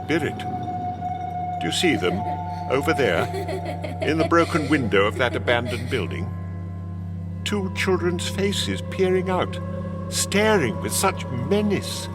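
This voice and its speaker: An actor playing an old miser, wistful and excitable, with theatrical, hesitant delivery in a radio play. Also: male voice, fairly high pitch, a little slow, pauses often, loud music behind the voice. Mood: troubled.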